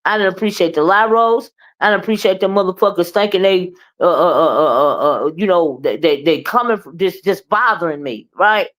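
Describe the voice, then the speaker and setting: Woman, loud and boisterous, blunt and profane, moody livestream ranter. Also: fairly low pitch, expressive, moderate pace, hardly any pauses. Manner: blunt, profane, keeps asking "okay?" and "right?"; moody, confrontational. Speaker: live streamer and commentator. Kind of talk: ranting live commentary.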